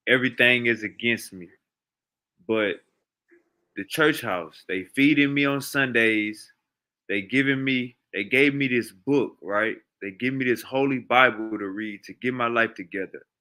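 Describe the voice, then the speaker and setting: A man, his voice bright and forceful, questioning a guest in a relaxed talk about scripture. He leans on pointed rhetorical questions and keeps pushing back. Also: fairly low pitch, a little slow, long pauses. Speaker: host. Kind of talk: casual debate about scripture.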